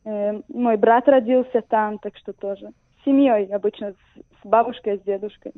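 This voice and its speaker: A woman's voice: medium pitch, steady tone, moderate pace, dry recording. Young woman on a phone-like line. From Israel. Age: 20s. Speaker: interview guest, professional dancer. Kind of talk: conversational interview answers. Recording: thin phone-like radio line.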